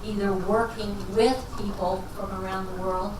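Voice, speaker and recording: A woman from Texas speaks as a guest finance professor at an evening lecture, folksy and quick to laugh at herself. Her voice comes from far off in a reverberant hall, with steady noise under it. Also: medium pitch. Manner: folksy and self-deprecating, jokes and laughs as she talks. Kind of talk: answering questions at a lecture.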